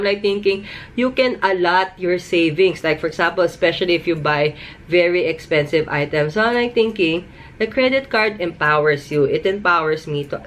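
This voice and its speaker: A woman with a Filipino accent talks casually, as a vlogger giving advice. Her voice sits a little low, and she leans on repeated words for emphasis. There is some noise behind her. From Philippines. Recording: noticeable background noise.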